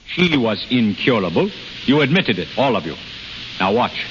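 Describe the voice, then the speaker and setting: A man's voice: mid-range voice, sing-song, normal speed, lightly acted. An actor playing a proud, impatient unlicensed healer in a 1930s radio drama, insistent and challenging, repeating his words. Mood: defiant. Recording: hissy, muffled 1930s radio recording, hall reverb.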